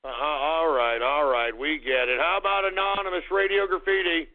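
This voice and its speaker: A man hosting a call-in radio show, ranting, shouting and swearing at callers while losing his voice. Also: fairly high pitch, fairly even intonation, moderate pace. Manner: shouting, profane, abrasive. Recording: phone-like narrow sound.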